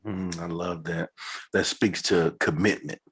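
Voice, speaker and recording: A man's voice: low-pitched, steady tone, a little slow, a bit bright, slightly rough. A man hosting an interview, talking in a casual, enthusiastic and affirming way and laughing easily. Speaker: podcast host.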